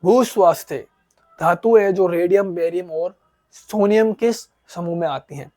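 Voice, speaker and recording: A man with a high voice, made hoarse by a sore throat, teaches exam-prep chemistry. He reads each question slowly and then quizzes his listeners, answering his own questions. The sound is dry and close, with no room echo. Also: a little mellow, soft background music. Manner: explains in Hindi, reads questions aloud in English, asks and answers his own questions.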